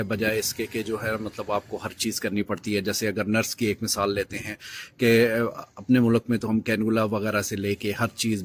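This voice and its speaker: Man, low voice, faint room hum, casual advice talk. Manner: explains with many fillers and restarts. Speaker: video host.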